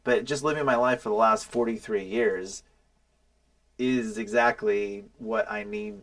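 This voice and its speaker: A man in his forties talks through ideas in a loose, conversational way. He restarts his sentences and slips into quoted voices of doubtful listeners. His voice has a gravelly edge and swings widely in pitch. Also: expressive, a little slow, fairly bright tone. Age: 40s. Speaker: podcast co-host. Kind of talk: casual conversational discussion.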